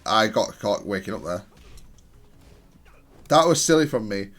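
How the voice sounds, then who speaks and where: Low-voiced man giving analytical gameplay commentary. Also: animated, unhurried, fairly bright tone, soft background music. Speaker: gamer reviewing own match.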